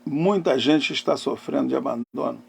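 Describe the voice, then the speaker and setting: A middle-aged man preaching and giving personal testimony as a pastor, emphatic, with dramatic pauses and swings between loud and hushed phrases. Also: mid-range voice, gravelly, slightly rough. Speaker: pastor and therapist hosting a live talk.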